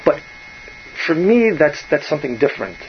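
A man, a bass player chatting casually and rambling on, often trailing off softly. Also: big pitch swings, normal speed, highs cut off, a little hiss or hum. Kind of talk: casual musician chat.

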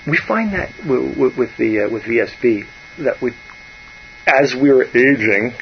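A man, a bass player chatting casually and rambling on, often trailing off softly. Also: animated, measured pace, highs cut off, a little hiss or hum. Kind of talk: casual musician chat.

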